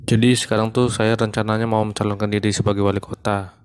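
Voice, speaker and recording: A man voicing a male monkey stand-up comedian in a cartoon who is running for mayor, telling jokes in a deadpan, even way. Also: fairly low pitch, fast talker, clean tone, no echo, clean studio sound, close to an everyday voice.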